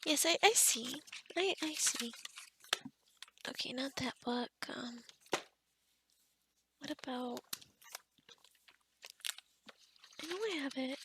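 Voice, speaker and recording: A woman's voice: high-pitched, expressive, brisk, noisy background. A woman playing a kind, scatterbrained forest witch in an ASMR roleplay, rambling and muttering to herself with whispery asides. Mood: distracted muttering.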